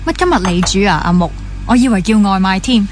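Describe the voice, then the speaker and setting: A woman's voice: medium pitch, a little fast, soft background music, lightly acted. A young woman with a Hong Kong Cantonese accent playing a gossipy, pampered only child obsessed with dating in a naturalistic radio drama. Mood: breezy chatty. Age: young adult. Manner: chatty, gossipy, mixes in English words.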